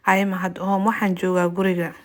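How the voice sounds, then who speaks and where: A woman's voice: on the low side, little pitch movement, fairly quick. A native Somali-speaking woman teaching a language lesson, translating phrases and explaining individual words.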